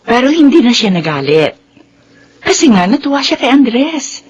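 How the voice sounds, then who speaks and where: A woman's voice: a little high, very animated, moderate pace, breathy edge, muffled recording. An actress playing a fond daughter recalling her mother in a radio drama, speaking with a Filipino accent. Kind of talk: radio drama acting in Tagalog.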